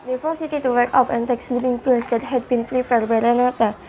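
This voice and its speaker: Mellow, highish-voiced woman narrating. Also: steady tone, a little fast, slight background noise, rough recording. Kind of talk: scripted student radio drama narration.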